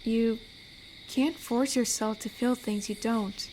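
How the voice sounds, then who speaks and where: A woman acts as an amiable, lonely werewolf guardian in an audio roleplay. Her voice sits a little high and moves very unhurriedly, soothing and reflective. Faint night-time cricket ambience sits behind her. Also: steady tone, slow pace, a little mellow, slight background noise, poor audio. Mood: comforting, sincere. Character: amiable, protective, lonely female werewolf, comforting and gentle. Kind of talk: intimate scripted audio roleplay. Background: night forest ambience with crickets.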